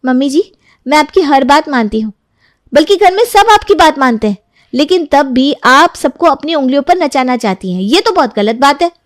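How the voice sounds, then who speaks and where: A woman's voice: high-pitched, expressive, normal speed, no echo, studio quality. A woman voicing an outspoken young daughter-in-law in a cartoon, talking boldly. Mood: defiant. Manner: bold, defiant. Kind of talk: Hindi cartoon dubbing.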